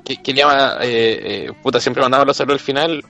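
A man's voice: medium pitch, fairly quick, creaky, sharp tone. A man from Chile with a Chilean accent, joking casually and crudely in thick slang. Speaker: comedy show panelist.

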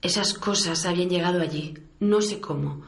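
A man's voice: sits high, moderate pace, gravelly, fairly bright tone. A man playing an anguished, tender survivor caring for a loved one, acting and narrating in a radio serial. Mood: sorrowful recounting. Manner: tender, distressed. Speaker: actor in an audio drama.

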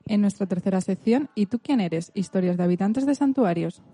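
A woman's voice: medium pitch, expressive, brisk, mellow, breathy edge, no echo. A woman, speaking as co-host of a children's radio show.

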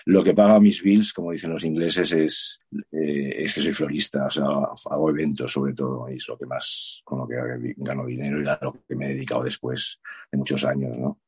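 Interview guest: man, very low, husky voice, loose and self-deprecating. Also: steady tone, moderate pace, a little mellow. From Madrid, Spain. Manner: self-deprecating, rambling with many restarts and fillers. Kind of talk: casual interview chat.

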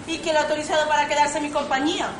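Woman playing a high-voiced, inquisitive fiancée. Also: flat delivery, very fast, close to an everyday voice. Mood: curious. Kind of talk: amateur stage comedy acting. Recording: distant echoey hall, noisy audience.

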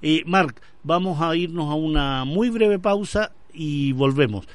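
A man's voice: fairly high pitch, unhurried, dry recording. A Chilean man hosting a conversational radio interview, often repeating words as he searches for a phrase. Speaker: radio show host.

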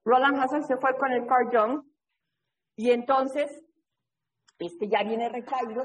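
A woman from New England leading an online recovery workshop, telling stories in a lively, casual way and often checking in with her listeners over a video call. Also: a little high, poor audio. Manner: lively, keeps checking in with a tag question. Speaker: recovery workshop leader and sponsor. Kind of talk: casual storytelling talk in an online support meeting.

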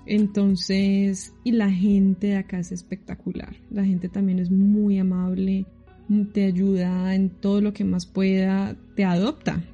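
A Colombian woman answering interview questions in a chatty, relaxed way, often laughing. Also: mid-range voice, unhurried, highs cut off, soft background music. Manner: chatty, laughing, many restarts. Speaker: guest living abroad.

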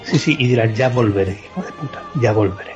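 A man's voice: medium pitch, fairly quick. A man from the podcast world with a Spanish accent from Spain, chatting casually and swearing freely about video games as a co-host. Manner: informal, sweary. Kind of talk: casual video game chat. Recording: muffled lo-fi podcast, music underneath.

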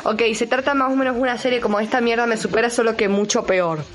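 A woman's voice: fairly high pitch, steady tone, quick pace. A young woman with an Argentine accent chatting casually as a radio co-host, informal, blunt and rambling. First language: Spanish. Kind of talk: casual chat, series recommendations.

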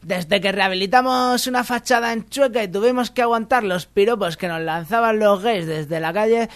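A woman voicing a gruff middle-aged male foreman in a comedic radio sketch, speaking bluntly and deadpan. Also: medium pitch, moderate pace, no echo, pitched below the performer's own voice, natural voice.

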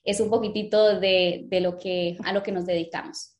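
Guest, woman in her 30s, from Guatemala; earnest storyteller, mid-pitched, filler-heavy. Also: steady tone, moderate pace. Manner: warm faith-filled storytelling with frequent "eh" fillers. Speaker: interview guest, lettering teacher and business owner. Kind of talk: casual interview conversation.

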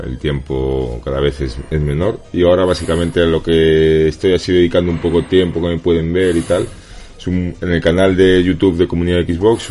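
Male podcast guest, extremely deep, laid-back delivery. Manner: relaxed, easygoing, trails off quietly. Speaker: podcast guest, games writer. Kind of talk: casual podcast chat.

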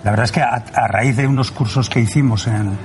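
A man's voice: mid-range voice, a little fast, noisy background. A man with an accent from Spain, an amateur radio actor answering interview questions in a conversational way.

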